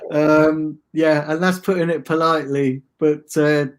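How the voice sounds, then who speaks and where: A man with a soft, soothing voice and a slightly higher pitch talks football in a laid-back way. He hesitates often, restarting phrases and filling gaps with "uh" and "um". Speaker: guest, fan channel streamer. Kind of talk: casual football chat.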